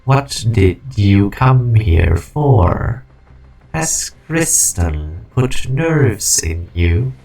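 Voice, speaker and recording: A man's voice: on the low side, very animated, very slow, vocal fry, a little mellow, full character voice. A man voicing an ancient artificial personality, the weary preserver of a dying civilization, in a grandiose, ponderous game fan dub. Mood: questioning. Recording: music behind the voice. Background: music.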